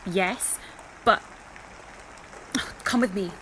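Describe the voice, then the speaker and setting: A woman playing a brisk, bossy, sardonic military ringleader in an audio drama. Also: medium pitch, a bit bright, a little hiss or hum, poor audio. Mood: businesslike.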